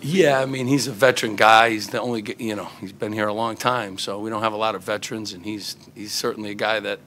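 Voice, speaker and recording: A man's voice: fairly low pitch, fairly even intonation, fast, a bit bright, a little hiss or hum. A middle-aged man, a head basketball coach, answering reporters at a postgame press conference, earnest and full of fillers and restarts, into table microphones.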